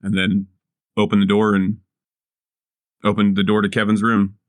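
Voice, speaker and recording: A man's voice: fairly low pitch, completely flat delivery, normal speed, no room sound, studio quality. A man playing a tabletop game, talking casually in and out of character. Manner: easygoing, casual in-character talk. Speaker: player.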